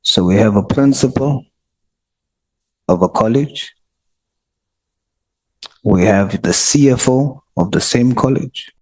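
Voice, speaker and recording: A man, a committee member pressing a witness with slow, pointed, repeated questions in a parliamentary hearing. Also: mid-range voice, breathy edge, poor audio.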